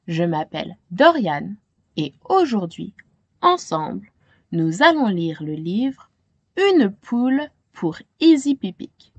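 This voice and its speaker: A woman narrates a children's storybook as host. Her delivery is slow and careful, with big swings of expression, in a very dry recording. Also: medium pitch, slightly rough, dry recording, lo-fi sound.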